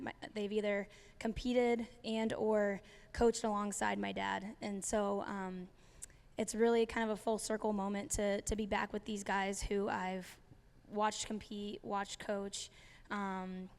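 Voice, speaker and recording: A young adult woman coach chats about her path into coaching. Her voice is smooth and clear, mid-pitched, and she leans on ums and small restarts as she goes. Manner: relaxed, many ums and restarts. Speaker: head cross country coach. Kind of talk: casual panel talk.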